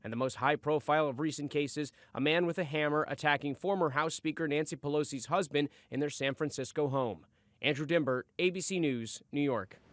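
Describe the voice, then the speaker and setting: A man's voice: fairly high pitch, fairly quick, dry recording. A man with an American accent narrating a scripted television news report as a correspondent.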